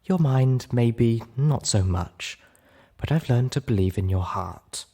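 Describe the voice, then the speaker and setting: A man voicing an adult female unicorn, refined, caring and forceful, in a storybook reading. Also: mid-range voice, expressive, slow pace, pitched above the performer's own voice. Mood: tender. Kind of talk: audiobook character voice.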